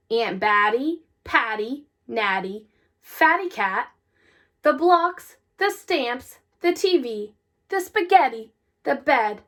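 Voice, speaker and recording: Casting: female picture-book narrator, high pitch, very animated intonation, expressive and deliberate. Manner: deliberate, expressive phrasing with emphasized words. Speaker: storybook reader. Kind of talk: children's read-aloud, scripted narration.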